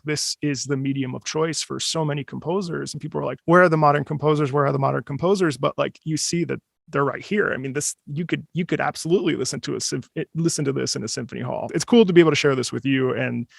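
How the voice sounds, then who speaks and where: A man's voice: medium pitch, fast, vocal fry, no echo, clean studio sound. A man hosting a casual chat, talking enthusiastically in a rambling way. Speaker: host.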